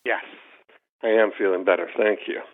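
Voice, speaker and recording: A man's voice: on the low side, fairly even intonation, moderate pace. A man, a podcast host, interviewing a guest over a call in an easygoing, reassuring way. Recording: tinny phone-like call line.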